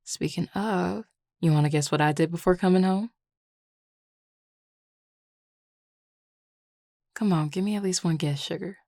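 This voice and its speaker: Flirty Southern US werewolf played by a woman, low-pitched, drawling and affectionate, close dry sound, intimate audio roleplay. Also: brisk, darkish tone, no echo. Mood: confiding, rueful. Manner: drawling, teasing, sighing, casual and affectionate. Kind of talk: intimate audio roleplay, one-sided conversation.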